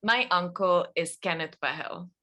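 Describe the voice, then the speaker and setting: A woman's voice: on the low side, a little slow, bright, forceful tone. A woman playing a confident, persuasive schemer in a table read. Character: confident, persuasive woman pitching a bold plan.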